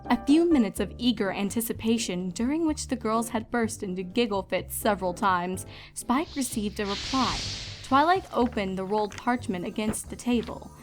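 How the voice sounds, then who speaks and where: A woman's voice: medium pitch, moderate pace, soft background music. A woman reading a story aloud as a scripted narrator of fan fiction.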